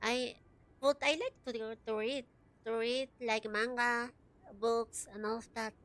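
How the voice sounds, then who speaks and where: A woman streamer playing a game, chatting casually and giggling, with a slightly raspy voice. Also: a little high, fairly even intonation, unhurried, fairly bright tone. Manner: giggly, chatty, talks to chat. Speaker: VTuber streamer. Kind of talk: casual gaming commentary.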